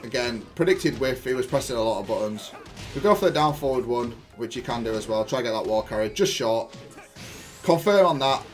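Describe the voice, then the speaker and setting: Guy doing gameplay commentary, explains every move in gamer jargon, normal pitched voice, bit of music behind. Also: lively intonation, a little fast. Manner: explanatory, jargon-heavy, thinking aloud. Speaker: gaming YouTuber and player analysing own match.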